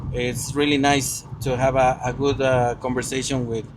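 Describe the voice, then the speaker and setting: A man's voice: medium pitch, little pitch movement, normal speed, noisy background. Friendly, hesitant male driver in a car. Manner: hesitant, friendly, restarts his sentences. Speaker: local driver. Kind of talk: casual interview answers. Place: inside a car.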